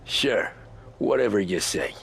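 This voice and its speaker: An actor playing a weary, dryly polite 1930s cab driver in a game cutscene, speaking in a laconic way. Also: male voice, on the low side, measured pace, a bit bright, slight background noise. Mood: weary, wry. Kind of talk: naturalistic game cutscene acting.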